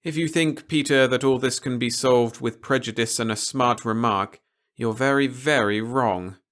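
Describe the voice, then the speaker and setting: A man performs a stern, articulate official from an audiobook story. The voice is lifted well above a middle register, with deliberate, emphatic and formal delivery, like a lecture to someone stubborn. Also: mid-range voice, fairly bright tone, pitched above the performer's own voice, lightly acted. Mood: stern, warning. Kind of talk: audiobook character dialogue.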